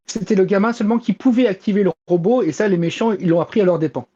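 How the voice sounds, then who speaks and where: A woman's voice: mid-range voice, quick pace, mellow, breathy edge. A woman in casual panel chat as a show columnist, explaining insistently, on a slightly dull recording. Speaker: columnist on an anime and manga show. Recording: slightly dull, muffled sound.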